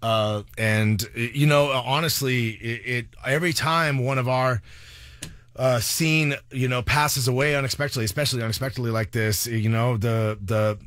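An American man hosting a radio show, talking off the cuff in an earnest, halting way. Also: mid-range voice, steady tone, fairly bright tone, smooth, dry recording. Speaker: radio host. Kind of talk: unscripted radio talk, tribute. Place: radio studio.

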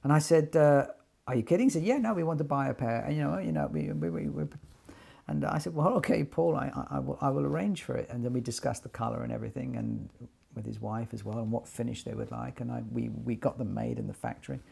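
Older man with a creaky, husky, mellow voice. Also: mid-range voice, fast. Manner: animated retelling with quoted dialogue, repeating words. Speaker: loudspeaker designer telling company story. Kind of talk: informal storytelling to camera. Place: small attic sitting room, indoors.

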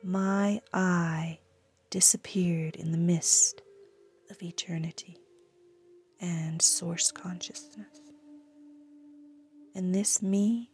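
A woman recites her own poem in a breathy, often whispery voice, with deliberate, reverent phrasing and pauses. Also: on the low side, warm tone. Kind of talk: slow contemplative poetry recitation.

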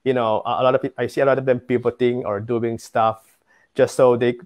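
A middle-aged man with a Filipino accent speaks as a guest in an online interview. His voice sits at an average pitch, and he phrases his answers hesitantly, as heard over a video call. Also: flat delivery, fairly quick, a little mellow. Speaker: tour guide and business founder, interview guest. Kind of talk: webinar interview. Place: home study with bookshelves.

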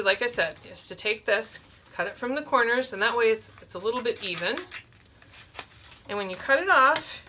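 A woman's voice: mid-range voice, sharp tone, slight background noise. A woman in her forties hosting a children's storytime, explaining a simple craft step by step from a small room. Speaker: librarian, storytime host.

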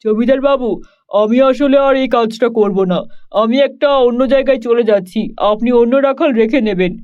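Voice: medium pitch, normal speed, smooth, highs cut off, natural voice. An actor voicing a humble, simple young cowherd boy in a children's cartoon, speaking in a plain, folksy manner. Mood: cheerful, evasive.

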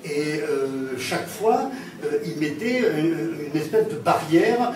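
A man's voice: fairly high pitch, animated, slow pace, a crowd in the background. A man recalling an actor at a panel in a classroom, talking in a hesitant way with many fillers and restarts. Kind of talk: anecdotal conversation. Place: classroom with chalkboard, indoors. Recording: echoey room, distant mic, audience noise.

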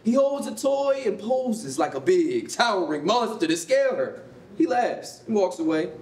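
A woman reads scripted narration with animated pitch. She is heard from a distance in an echoing rehearsal space, with faint noise. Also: measured pace. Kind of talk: scripted narration of staged reading. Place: concrete rehearsal hall, live staged reading. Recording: roomy, distant mic, noisy rumble.

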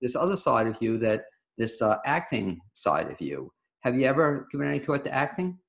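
Gravelly older male interviewer. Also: medium pitch, big pitch swings, normal speed, a bit bright. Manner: friendly, meandering questions. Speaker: radio show host. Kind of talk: casual interview over video call. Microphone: webcam on video call.

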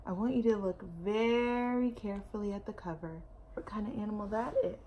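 A woman's voice: medium pitch, steady tone, fairly quick, dark tone, slight background noise. A young woman reading a children's story aloud in a careful, teacherly way, with asides to young listeners. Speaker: storytime reader. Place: indoor room at home.

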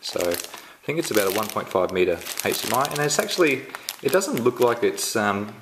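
An Australian man giving a casual unboxing walkthrough at home, halting and full of ums and restarts. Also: fairly low pitch, big pitch swings, background noise. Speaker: tech reviewer. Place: indoors at home, filming the box up close.

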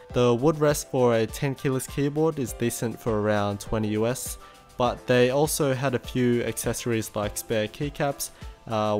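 A young man with an Australian accent, narrating an easygoing voice-over of a shopping trip. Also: mid-range voice. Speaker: vlogger.

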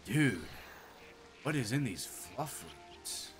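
A man co-hosts a game stream with casual, playful banter. His pitch is mid-range and jumps around in animated bursts and exclamations. Light game music plays behind him. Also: slow. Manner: playful, exclaiming, uses silly nicknames. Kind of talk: casual gameplay banter and commentary.

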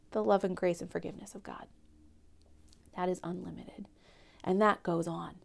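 A young woman giving a gentle Lent reflection at home and reading a picture book aloud, speaking softly and often near a whisper into a lapel mic. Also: mid-range voice, gravelly. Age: young adult. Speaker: church speaker. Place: home living room, indoors.

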